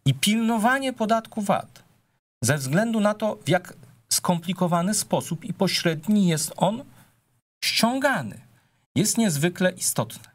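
A middle-aged man, a politician guest, arguing his case in a TV studio debate, measured and full of hesitations. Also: sits high, animated. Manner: measured, argumentative, with frequent hesitations.

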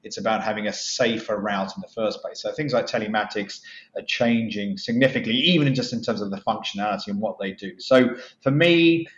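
A man in his fifties giving emphatic, conversational interview answers as a company chief executive, often adding asides. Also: fairly low pitch. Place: office, indoors. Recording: echoey, dull video-call audio.

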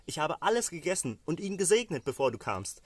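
A man's voice: a little high, very animated, brisk, slightly rough, dry recording. A solemn old patriarch , read by a male storyteller, wary and formal as he speaks to his sons. His words are weighty pronouncements from a storybook world. The sound is dry and close. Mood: dismayed.

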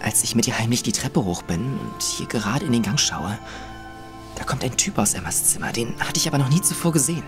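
A man's voice: medium pitch, lively intonation, fairly quick, music in the background, lightly acted. A young man playing a plain-spoken, guarded working-class lad in a radio drama. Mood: confiding. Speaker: witness.

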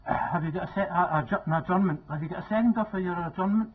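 A man's voice: high-pitched, quick pace, husky. Older Scottish man chairing, tinny sound. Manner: hesitant, stumbling over words. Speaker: council chair (provost). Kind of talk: formal chairing of a council meeting. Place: council chamber, indoors. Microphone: desk microphone. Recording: tinny phone-like sound, faint noise.

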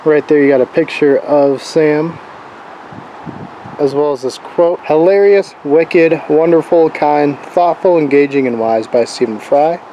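A man with a slightly hoarse voice from a cold, casually reviewing a book in a relaxed, rambling way with fillers. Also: fairly high pitch, unhurried, lo-fi sound, a little hiss or hum.

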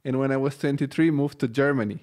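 A man's voice: medium pitch, fairly even intonation, quick pace, darkish tone. Bulgarian-born man hosting a podcast. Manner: friendly, hesitant, many fillers. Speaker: podcast host. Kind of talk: casual interview. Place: small home studio. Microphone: studio boom mic, headphones.

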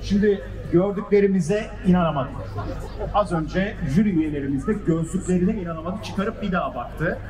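High-pitched male event announcer over echoing speakers. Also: fairly quick. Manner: exclamatory, hyping the crowd. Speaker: live event announcer at an air show and flying contest. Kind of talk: excited live commentary over a public address system. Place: outdoor seaside public event. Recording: echoey loudspeakers outdoors, distant, loud music and crowd. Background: music and crowd noise.

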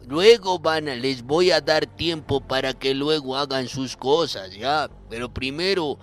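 A man's voice: mid-range voice, unhurried. A young man hosting a comedic radio monologue in a theatrical, playful way, repeating his words. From Bolivia. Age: young adult. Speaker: radio host, comic monologist. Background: loud music bed.

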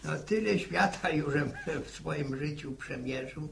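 Creaky old village grandfather. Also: a little high, a bit bright. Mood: sardonic. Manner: halting, repeats his words. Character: stammering, grumbly old village grandfather, fond and folksy. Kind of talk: 1960s Polish radio drama acting. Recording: faintly noisy old radio recording.

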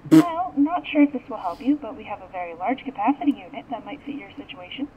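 A woman's voice: on the high side, animated, quick pace. A woman working as a customer service agent, talking politely to a caller over the phone. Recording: thin, tinny phone line.

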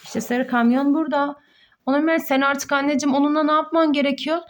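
A young mother with a high voice hosts a toy play video for children. She narrates playfully, with frequent exclamations and questions put to the little viewers. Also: steady tone, fast talker, slight background noise.

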